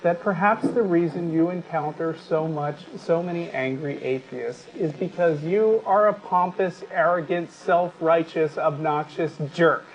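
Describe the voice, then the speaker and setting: A man co-hosting a talk show, speaking in a deliberate, emphatic, articulate way, whether reading aloud or giving his opinion. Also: a little high, poor audio. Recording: steady background noise.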